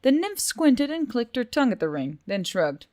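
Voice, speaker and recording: A woman's voice: fairly high pitch, big pitch swings, moderate pace, darkish tone, no echo, clean studio sound. A woman reading scripted fantasy fiction as an audiobook narrator.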